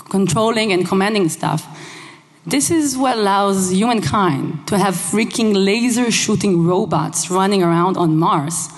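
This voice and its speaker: A young woman whose first language is Hebrew, giving a lively conference talk on a headset mic in a large hall, engaging the audience with questions. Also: fairly low pitch. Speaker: conference speaker. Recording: long hall reverb.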